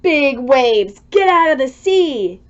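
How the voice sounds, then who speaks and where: Excitable storm alarm for a children's tale, played by a woman: raised pitch, urgent, over-the-top warnings. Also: takes it slowly, lo-fi sound, full character voice. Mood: bossy warning. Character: excitable storm-gauge alarm calling out escalating danger warnings. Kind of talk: children's storybook read-aloud.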